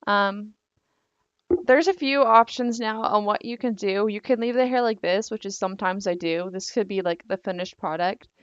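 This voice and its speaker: A young woman hosting a casual digital hair drawing tutorial, rambling with lots of fillers and apologetic asides, her voice croaky because she is losing it. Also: mid-range voice, normal speed, no echo, muffled recording. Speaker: digital art tutorial host.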